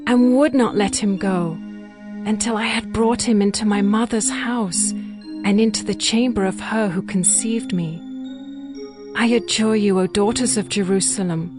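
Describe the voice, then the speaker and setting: An actress playing a young, ardent bride in a dramatized scripture reading, speaking in a measured, lyrical and earnest way. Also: female voice, mid-range voice, natural voice. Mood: yearning, then solemn entreaty. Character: young bride longing for her beloved, ardent and poetic.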